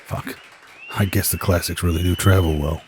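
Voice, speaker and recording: A man's voice: deep voice, steady tone, moderate pace, a little mellow, a faint crowd, a little hiss or hum, close to an everyday voice. A man playing a world-weary space detective in a radio drama, wry and laid-back in a noir way. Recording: music behind the voice.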